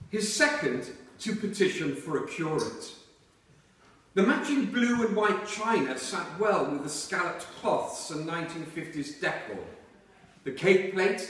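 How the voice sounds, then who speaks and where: A man reading aloud from a novel to listeners in a measured storytelling way. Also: high voice, fairly bright tone. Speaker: reader at a book reading. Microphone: distant microphone. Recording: very echoey hall, far from microphone.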